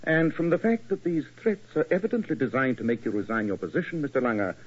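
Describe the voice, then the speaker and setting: A self-assured English detective in an old radio play. His delivery is animated and swooping, precise and formal, with an English accent and a slightly rough edge. The vintage recording is muffled. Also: medium pitch, fast talker. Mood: analytical. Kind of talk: 1940s radio acting.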